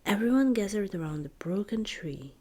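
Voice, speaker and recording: A woman reading a children's story in ASMR style, in a soft, breathy whisper with deliberate, expressive delivery. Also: mid-range voice. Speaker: storybook reader, ASMR creator.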